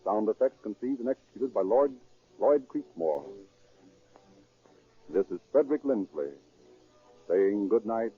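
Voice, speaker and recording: A man's voice: lowish voice, animated, unhurried, pauses often, slightly rough. A man working as a radio announcer, reading scripted narration and sponsor copy in a formal, declamatory 1930s style. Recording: muffled, band-limited 1930s radio broadcast.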